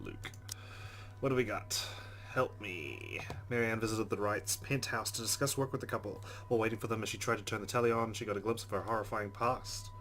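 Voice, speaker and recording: A man's voice: on the low side, brisk, a bit bright. A man playing a visual novel on video, reading its narration aloud and adding wry, chatty asides. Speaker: let's play host. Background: game music.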